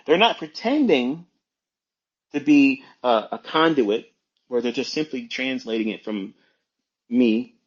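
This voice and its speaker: Male educator, informal monologue. Husky, emphatic, admonishing delivery with repeated phrases, on a dull lo-fi recording. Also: medium pitch, unhurried, pauses often. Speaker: trading educator hosting an online audio talk. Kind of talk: informal unscripted monologue, lecturing.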